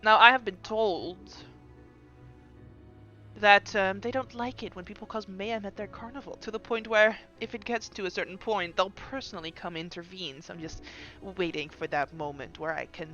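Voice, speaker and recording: A woman playing a sly kobold trickster bound to a fey patron, smooth and elegant, wry and wounded by suspicion. The voice is bright and forceful. It comes through a dull, lo-fi recording. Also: medium pitch, normal speed, faint music behind, close to an everyday voice.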